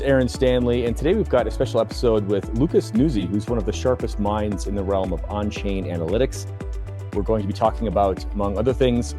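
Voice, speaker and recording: A man hosting a podcast, asking long, rambling questions with many fillers and restarts in casual chat. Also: lowish voice. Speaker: podcast host.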